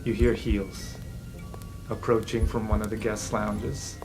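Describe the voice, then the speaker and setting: A man's voice: on the low side, little pitch movement, takes it slowly, music in the background. A man running a tabletop game, narrating the scene casually with plenty of fillers. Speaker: game master.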